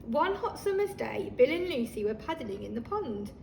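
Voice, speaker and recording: A woman reading a children's story aloud like a teacher, expressive and talking listeners through the pictures. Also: high voice, normal speed, gravelly. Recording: roomy, distant microphone, faint noise.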